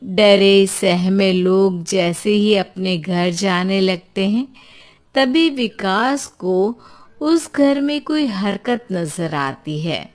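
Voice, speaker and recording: A woman storyteller reading scripted narration for an animated tale. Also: mid-range voice, drawn-out words.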